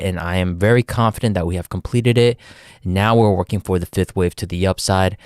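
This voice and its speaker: A man with a Texan accent hosts a casual livestream and walks through market charts in a folksy, chatty way. His voice sits a bit low. The sound is dry and close, with no room echo. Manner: folksy, repeats "ladies and gentlemen". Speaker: livestream host, market analyst.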